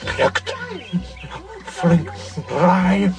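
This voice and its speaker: A man's voice: high voice, extremely slow, creaky, over loud music, heavily acted. A man voicing a determined male dog in a children's radio play, muttering to himself. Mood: straining.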